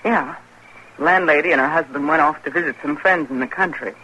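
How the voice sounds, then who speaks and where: A woman's voice: low voice, brisk, pushed and bright, low-quality recording. An actress playing a guarded, lonely young saloon girl in an old-time radio western. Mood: anxious, guarded. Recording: thin old radio recording, faint noise.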